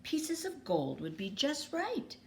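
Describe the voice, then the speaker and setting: A storybook schoolteacher played by a woman reader, brave and composed. She instructs firmly and deliberately, in an unhurried voice with lively rises, over faint noise. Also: high voice, voice pushed up, heavily acted. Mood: firm, calm. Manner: firm, deliberate classroom instruction. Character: brave, firm woman schoolteacher, calm under threat.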